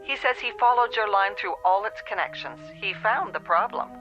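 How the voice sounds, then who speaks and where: A patient, polite female operator, in a vintage television drama. Her bright, measured voice comes through a telephone with faint music behind. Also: medium pitch, animated, lo-fi sound. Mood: matter-of-fact.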